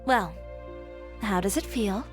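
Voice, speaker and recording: An actress performs a confident young woman designer from a video game. She talks in a dry, articulate, mid-pitched voice with a cutting edge and no patience for nonsense. Music plays behind her. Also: slow, natural voice. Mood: relaxed. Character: assertive, blunt young woman fashion designer with cool confidence. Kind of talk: English video game voice acting.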